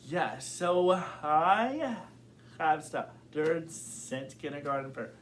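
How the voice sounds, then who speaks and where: Slow-talking young man who stutters. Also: a little high, animated, a little hiss or hum. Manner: stutters, with many fillers and repeated words; thoughtful, open. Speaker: interviewee. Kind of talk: casual interview.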